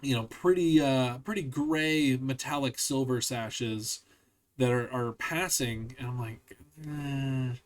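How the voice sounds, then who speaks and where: A man hosts a casual chat, his voice sitting in an ordinary middle range. He talks in an animated, opinionated way and pokes fun at himself along the way. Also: mid-range voice, slow pace. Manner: animated, opinionated, self-deprecating. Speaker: podcast host.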